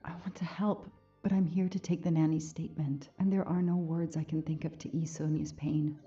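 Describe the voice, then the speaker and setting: A woman's voice: sits low, steady tone, brisk, mellow, muffled recording, faint music behind. A woman playing a compassionate detective thinking aloud in a video game's inner monologue. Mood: reflective.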